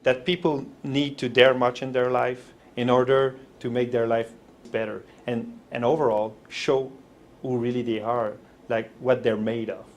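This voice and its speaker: A man's voice: mid-range voice, little pitch movement, unhurried. A man with a French-Canadian accent, a native French speaker, explaining his approach as a motivational speaker in an interview.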